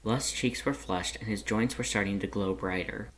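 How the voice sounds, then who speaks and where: A narrator reading scripted fan fiction aloud in an expressive, dramatic way. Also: low voice, normal speed, a little hiss or hum.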